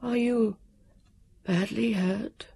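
A woman voicing a nervous, gentle male warrior in a storybook, with a high, quavering voice and wordy, hesitant politeness. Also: animated, a little slow, slightly rough, heavily acted. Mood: nervous concern.

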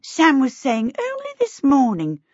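A woman's voice: sits high, huge pitch swings, measured pace, no echo, highs cut off, pitched above the performer's own voice, full character voice. A woman doing an anxious, proper mother. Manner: anxious, hushed. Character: anxious, proper baker's wife, middle-aged mother.

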